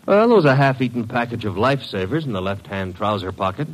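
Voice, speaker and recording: A man's voice: mid-range voice, animated, quick pace, natural voice, low-quality recording. An actor playing a cocky, wisecracking detective's legman, wry and slangy, in an old-time radio drama. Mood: wry, breezy.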